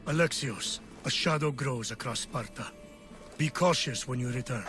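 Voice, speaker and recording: An actor voicing a middle-aged male general, measured, solemn and fatherly, in a game cutscene. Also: mid-range voice, close to an everyday voice. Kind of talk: video game cutscene acting. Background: game score music.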